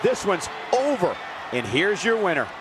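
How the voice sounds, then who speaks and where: A man playing an excitable wrestling commentator calling a match in a video game. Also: high voice, normal speed. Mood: excited. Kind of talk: sports commentary. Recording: very loud arena crowd noise.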